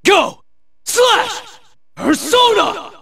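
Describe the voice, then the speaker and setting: A man's voice: very high-pitched, very slow, gravelly, no echo, clean studio sound, heavily acted. An actor playing a cool, resolute teenage swordsman in a fighting video game, speaking in terse, clipped battle lines. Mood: shouting, fierce. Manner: terse, clipped battle barks and calm taunts.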